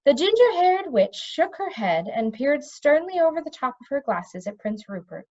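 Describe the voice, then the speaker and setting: A woman's voice: sits high, sing-song, moderate pace, darkish tone, muffled recording. A woman narrating a storybook as she reads aloud. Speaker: narrator reading a book aloud.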